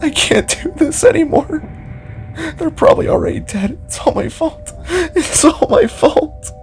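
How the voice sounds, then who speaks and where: A man's voice: extremely high pitch, expressive, a little slow, vocal fry, darkish tone, faint music behind, voice pushed up, full character voice. A man voicing an anguished, despairing glowing spark creature in an amateur audio drama, pleading in repeated bursts. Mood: despairing, weeping.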